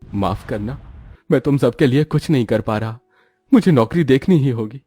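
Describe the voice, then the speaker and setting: Man voicing anguished poor father, pitched up high. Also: expressive, normal speed, mellow, dry recording, studio quality, faint music behind, close to an everyday voice. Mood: dejected, apologetic. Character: worn-out poor father, anguished and apologetic husband. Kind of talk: Hindi moral-story cartoon dialogue.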